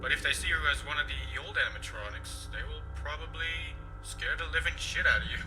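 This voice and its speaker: A man acting out a casual boss who jokes his way through instructions in a slightly high voice. Loud music plays underneath him throughout. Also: a little fast, natural voice, poor audio. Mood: offhand. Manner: casual, offhand, joking. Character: casual male boss giving phone instructions.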